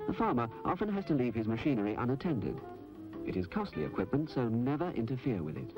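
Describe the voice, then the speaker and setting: A man reading scripted public information narration in an instructive, measured manner on an old film soundtrack. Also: lowish voice, dull audio. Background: music.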